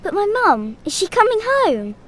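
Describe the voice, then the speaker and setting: A woman's voice: very high-pitched, sing-song, moderate pace, a bit bright, a little hiss or hum, full character voice. A woman playing a sad, hopeful young girl in an audio drama, speaking simply and wistfully. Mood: upset. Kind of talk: audio drama monologue and dialogue.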